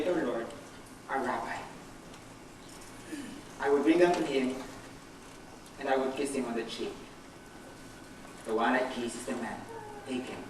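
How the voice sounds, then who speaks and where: An actor playing a bitter, guilt-ridden betrayer in a dramatic stage monologue, swinging from muttering to anguished outbursts. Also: male voice, medium pitch, lightly acted. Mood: secretive, tense. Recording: very echoey hall from far off, faint noise.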